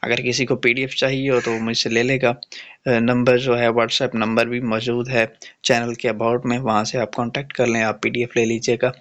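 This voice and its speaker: A man's voice: medium pitch, little pitch movement, moderate pace, fairly bright tone, lo-fi sound. A man with a Pakistani accent giving an informal, matter-of-fact exam-prep explainer, mixing in English phrases and reading questions aloud.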